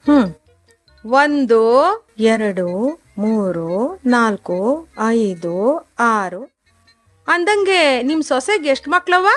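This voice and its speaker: A woman's voice: fairly high pitch, sing-song, slow, long pauses, faint music behind. A woman playing a sly old village dung-cake seller. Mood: wheedling. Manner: rustic village dialect, sly and sweet-talking while counting. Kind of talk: radio drama acting.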